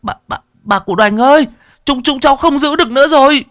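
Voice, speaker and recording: A man's voice: a little high, fairly even intonation, drawn-out words, pitched above the performer's own voice. A man voicing panicked young village men who stammer, wail and complain under strain in a horror story. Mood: desperate.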